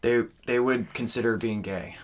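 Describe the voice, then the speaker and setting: Young adult male podcast co-host, loud, playful banter. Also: mid-range voice, normal speed. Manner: loud, joking, camp banter. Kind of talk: casual chat.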